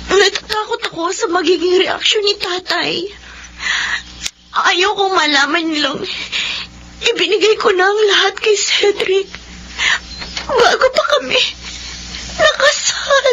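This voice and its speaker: A woman's voice: extremely high pitch, expressive, measured pace, pauses often, bright, forceful tone, highs cut off, a little hiss or hum, exaggerated voice. A woman playing an anxious pregnant young woman in a radio drama, earnest, insistent and emotional. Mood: tearful, afraid. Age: young adult. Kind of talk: Filipino radio drama acting.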